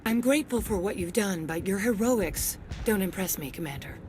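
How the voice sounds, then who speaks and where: A woman's voice: medium pitch, animated, moderate pace, darkish tone, faint music behind, close to an everyday voice. Jaded, sardonic female security officer played by an actress. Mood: bitter, guarded. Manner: terse, sardonic. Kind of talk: video game voice acting.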